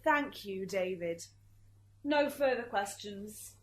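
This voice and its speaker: A woman's voice: mid-range voice, animated, slow pace, slight background noise. A woman playing a composed, probing courtroom lawyer in an amateur radio drama. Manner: formal, questioning.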